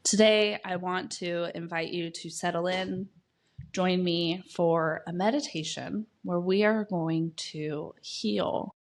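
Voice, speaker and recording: A woman with a slightly low voice leads a guided meditation. She speaks very slowly and gently, in short phrases. Long pauses separate her soft instructions, so each one stands on its own. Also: steady tone.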